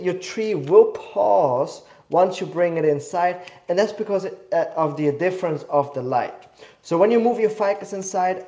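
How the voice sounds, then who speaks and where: A man's voice: high voice, darkish tone, roomy sound. Eager young man from Switzerland. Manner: eager, rambling, self-correcting. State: slight cough. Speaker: bonsai demo host. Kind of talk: live demo teaching. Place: indoor studio.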